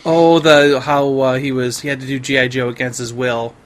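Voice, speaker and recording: A man's voice: medium pitch, little pitch movement, normal speed. A man chatting casually on a podcast, telling stories in a jokey way and acting out the dialogue. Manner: jokey, swearing, acts out quoted dialogue. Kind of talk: casual podcast chat, animated storytelling.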